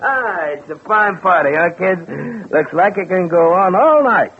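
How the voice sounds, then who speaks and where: An actor playing a tipsy, boisterous, petulant husband in a 1940s radio drama, rambling loudly on a tinny old recording. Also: male voice, high voice, expressive, unhurried. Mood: jovial, tipsy. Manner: loud, repetitive drunken rambling.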